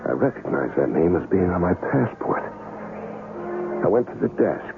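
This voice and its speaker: A phone-thin vintage radio recording with music behind. an American man, a tense, wry traveler who has lost his memory. His deep voice swings expressively as he tells his own story with some hesitation. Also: gravelly, narrow, tinny audio, heavily acted. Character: tense amnesiac male traveler narrating his own adventure. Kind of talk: first-person radio drama narration and dialogue.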